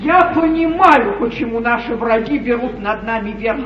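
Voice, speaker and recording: An old, distant theatre recording with a dull, echoing sound. An actress plays a domineering, shrewd duchess in a stage comedy. Her delivery is imperious and emphatic, with very mobile pitch. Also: animated, normal speed, slight background noise, natural voice. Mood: indignant, accusing. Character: domineering, shrewd duchess at an English royal court.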